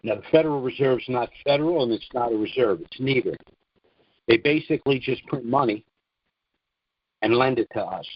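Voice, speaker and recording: Male American call host, measured halting monologue, dry and slightly lo-fi sound. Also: medium pitch, no room sound. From United States. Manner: deliberate, halting, frequent throat-clearing. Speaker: conference call host promoting trust services. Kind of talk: informal monologue on a conference call. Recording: dull, dry conference call audio.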